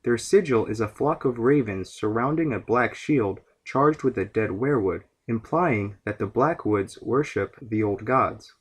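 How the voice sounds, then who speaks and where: A man's voice: medium pitch, measured pace, hardly any pauses, slightly rough. A man hosting a podcast, giving scripted, explanatory narration about fantasy lore. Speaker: podcast host.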